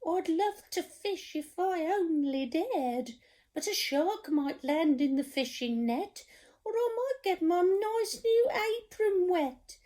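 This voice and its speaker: A woman's voice: slow pace, mellow, full character voice. A woman doing a trembling, frightened cook. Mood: scared. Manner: high, wavering, fearful. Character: timid trembling male cook, easily scared.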